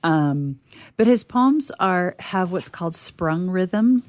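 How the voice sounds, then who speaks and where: A woman with a low voice and a slow, measured pace. She speaks as a poetry reader on a radio theatre show, and the sound is very dry. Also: lively intonation, no room sound. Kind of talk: casual talk and measured poetry recitation.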